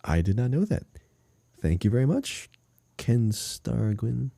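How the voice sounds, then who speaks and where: Mellow, animated man podcasting. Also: medium pitch, measured pace, gravelly, no room sound. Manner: enthusiastic, emphatic. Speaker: podcast co-host. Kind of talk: casual chat about a video game.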